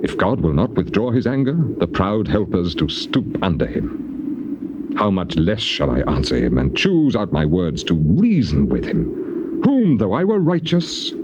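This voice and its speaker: An anguished, weary righteous sufferer in a classical Bible drama. His low voice swings widely through rhetorical questions and heavy falls as he pleads his case. It comes off a muffled, noisy old cassette. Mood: grave, awed. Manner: declamatory, rhetorical, swelling questions and falling sighs. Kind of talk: dramatized Bible reading, theatrical classical delivery.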